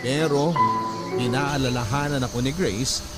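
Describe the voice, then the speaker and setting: A man's voice: mid-range voice, measured pace. A man with a Filipino accent reading a dramatic first-person story as narrator. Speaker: narrator reading a listener's letter. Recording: loud music bed under voice. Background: music.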